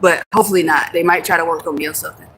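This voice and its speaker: Chatty, young woman, from the US, bright voice, talks back to comments, faint ambience. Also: lowish voice, fairly even intonation, very fast, a little hiss or hum, rough recording. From United States. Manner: chatty, answers comments, laughs easily, some swearing. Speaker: livestream host. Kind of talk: casual live chat with viewers.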